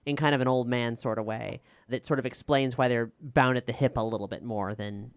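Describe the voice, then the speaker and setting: Clear, dry-sounding reflective storyteller. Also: medium pitch, fairly even intonation, fairly quick, no room sound. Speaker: showrunner, writer and cast member of an audio drama. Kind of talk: casual Q&A panel storytelling.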